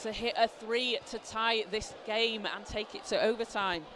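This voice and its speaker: A man, hoarse from a cold, giving excited live play-by-play basketball commentary in an indoor arena. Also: high voice, a little slow. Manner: excited, shouting calls on big plays. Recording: clear arena noise behind.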